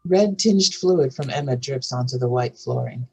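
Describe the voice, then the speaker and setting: A woman with a husky voice reads scripted narration and stage directions for a drama table read. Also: extremely low pitch, normal speed, a little mellow, low-quality recording.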